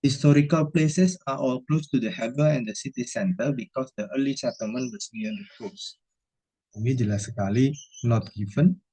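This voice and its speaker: A man's voice: mid-range voice, normal speed, mellow, slightly rough, low-quality recording. A man with an Indonesian accent, a lecturer explaining answers step by step and posing questions he then answers himself. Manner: didactic, poses questions then answers them. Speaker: university English lecturer. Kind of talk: explanatory lesson, going through quiz answers.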